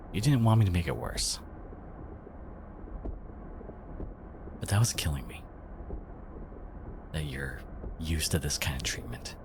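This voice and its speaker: A man acts out a devoted, protective boyfriend in an intimate ASMR audio drama. His voice is very low and slow, halting and often slipping into breathy whispers, full of feeling. There is clear background noise behind him. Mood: choked up, apologetic. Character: devoted, protective boyfriend, tender, indignant and emotional.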